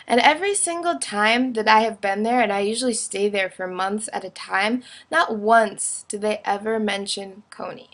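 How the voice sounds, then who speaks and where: A woman's voice: mid-range voice, moderate pace, hardly any pauses, fairly bright tone. A young woman giving a casual, opinionated video monologue in a blunt, informal way, peppering her sentences with "like".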